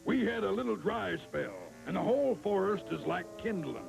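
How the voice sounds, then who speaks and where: Gravelly, folksy cartoon bear ranger. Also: male voice, sits high, expressive, moderate pace, exaggerated voice, low-quality recording. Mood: calm authority. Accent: American. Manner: drawling, homespun, unflappable. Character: easygoing, folksy bear forest ranger in charge. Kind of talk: 1960s TV cartoon acting. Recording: old cartoon soundtrack with loud music.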